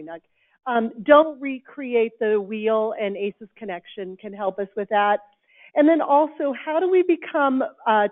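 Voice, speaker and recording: Loud woman summing up her table at a meeting, kinda high voice, tinny audio. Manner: loud, emphatic speaker. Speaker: table spokesperson at a workshop. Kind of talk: reporting back to a room. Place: conference room. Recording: tinny phone-like sound.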